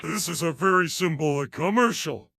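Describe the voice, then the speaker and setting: A man voicing an eager, excitable cartoon pitchman in a commercial skit. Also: moderate pace, vocal fry, noisy background.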